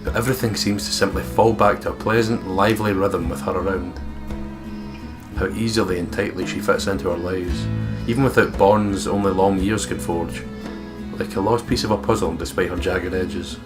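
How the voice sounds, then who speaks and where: A low-pitched man from the UK hosts a let's play, reading story text aloud and chatting in asides. Clear game music plays behind his voice. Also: steady tone, moderate pace. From United Kingdom.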